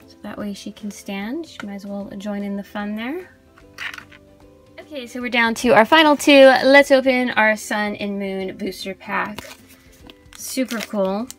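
A young woman hosts a toy unboxing in a casual, chatty style. Her mid-pitched voice is chirpy and gushes over cute things. Soft music plays faintly behind her as she talks. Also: unhurried. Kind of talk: casual unboxing review chat. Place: indoors at a tabletop display. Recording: background music under voice.

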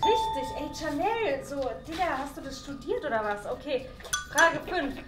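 A young woman playing a sulky teenage contestant in a web game show, speaking in halting youth slang. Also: high voice, very animated, reverberant. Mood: puzzled. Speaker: camp contestant in a scripted web challenge show. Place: small decorated studio room indoors. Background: music.

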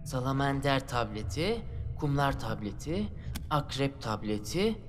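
A woman's voice: a bass voice, sing-song, measured pace, soft background music, exaggerated voice. A woman playing an anxious, earnest young boy guardian in a dubbed children's cartoon, fussy and dramatic. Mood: muttering, searching. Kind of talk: dubbed children's animated adventure.

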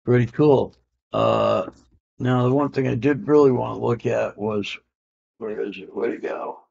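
A man's voice: mid-range voice, a little slow, gravelly, breathy edge. A man in his fifties casually showing off comic books at home, rambling with lots of fillers and easygoing slang. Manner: relaxed, rambling, many "uh" fillers, says "man" and "I can dig it". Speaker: comic collector showing an auction win. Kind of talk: casual unboxing chat. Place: home room with comic art on the walls. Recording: dull lo-fi home camera audio.

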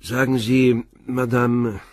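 A man playing a calm police inspector in a radio play, questioning witnesses in a measured, persistent way. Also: medium pitch, no room sound, studio quality. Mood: steady.

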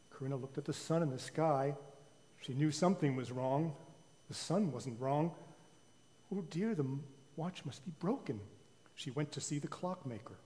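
A man telling a children's story live in a theatrical way, with deliberate pauses. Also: fairly high pitch, darkish tone. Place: large church sanctuary. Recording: reverberant church hall.

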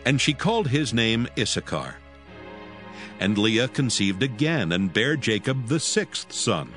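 Animated male Bible narrator. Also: medium pitch, a little slow, soft background music.

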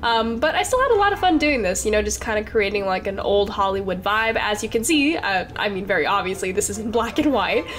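A woman's voice: mid-range voice, expressive, a little fast, few pauses, a bit bright. Congested young woman chatting casually. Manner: chatty, rambling, frequent fillers and giggles. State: congested with a cold. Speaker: Sims speed-build creator. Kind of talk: casual voice-over narration of a game build.

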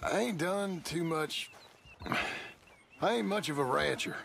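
A man playing a modest, easygoing American cowboy drifter in a western video game, drawling and laconic, gentle with horses. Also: a little high, unhurried, creaky, a little hiss or hum. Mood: laid-back. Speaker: ranch hand.

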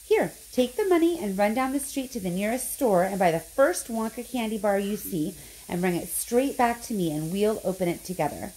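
A woman voicing an excitable old grandfather from a storybook, sly and nervous, speaking in a conspiratorial way. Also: mid-range voice, normal speed, lightly acted, low-quality recording. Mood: excited, insistent. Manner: hushed, conspiratorial, spluttering when excited.

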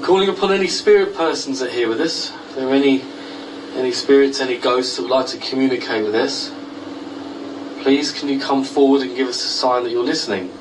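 An actor playing an earnest, polite ghost hunter, making formal appeals to the unseen in an audio drama. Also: male voice, medium pitch, a little fast, far from the mic, noisy background, lightly acted. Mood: earnest, calling out.

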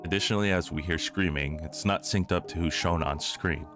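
A man's voice: low voice, brisk, over music. A man narrating a scripted analytical video essay.

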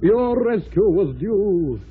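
An actor playing a noble, pompous leader of knightly knives, grand and commanding in old-fashioned radio style. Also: male voice, high-pitched, expressive, slow, slightly rough, heavily acted. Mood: courtly. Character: noble pompous leader knife of a square table. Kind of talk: 1940s radio acting. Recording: tinny old radio recording.

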